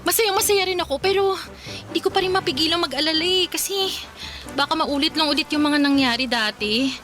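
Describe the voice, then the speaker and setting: An actress playing a loving, playful Filipino wife in a radio drama, affectionate and reassuring. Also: female voice, sits high, brisk, fairly bright tone, soft background music. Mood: excited, anxious. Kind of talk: radio drama acting in conversational Tagalog.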